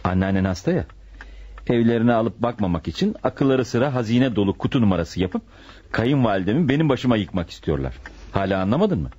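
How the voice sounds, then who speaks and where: An actor playing a skeptical, grumbling middle-aged father with a husky voice in a muffled radio play. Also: lowish voice, lively intonation, brisk, mellow, no room sound. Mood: skeptical, irritated. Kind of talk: radio theatre acting. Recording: muffled radio drama recording.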